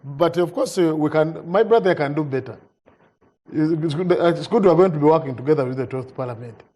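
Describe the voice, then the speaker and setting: Mellow man, halting speech. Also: a little high. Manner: halting, repeats words, asks "you understand?". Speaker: member of parliament. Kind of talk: TV panel debate.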